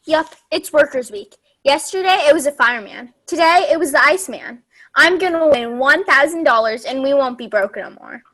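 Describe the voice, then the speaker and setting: A woman's voice: high-pitched, animated, moderate pace, a bit bright. A woman acting an innocent, blunt, eager little schoolgirl daughter in a staged play reading. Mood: proudly eager. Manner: childlike, matter-of-fact.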